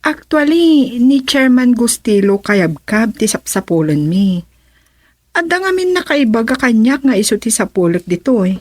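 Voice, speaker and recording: A woman's voice: a little high, very animated, moderate pace, darkish tone, dry recording. An actress playing a composed, self-assured visiting lady in an Ilocano radio comedy drama, with an Ilocano accent. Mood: businesslike.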